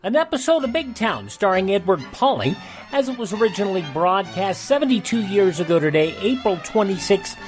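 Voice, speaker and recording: A man's voice: sits high, sing-song, brisk, soft background music. A man hosting a radio show, reading a scripted narration of historical events.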